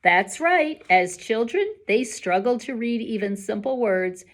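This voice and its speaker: Woman reading as an animated, encouraging male schoolteacher. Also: a little high, measured pace. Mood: warmly emphatic. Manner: rhetorical, emphatic, instructive. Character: encouraging, earnest male schoolteacher addressing his class.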